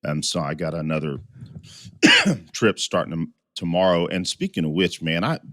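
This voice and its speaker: A man's voice: low-pitched, sing-song, moderate pace, fairly bright tone, no echo, studio quality. A man co-hosting a podcast in casual chat, his voice still scratchy from a recent cold, often repeating and restarting words. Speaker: podcast co-host.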